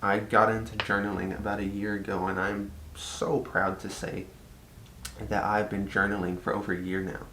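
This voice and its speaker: A man's voice: level tone, moderate pace, pushed and bright. A young man from Texas with a Texan Southern accent, chatting casually in a personal vlog and filling his talk with "like" and repeats. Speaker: vlogger. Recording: echoey room with faint rumble.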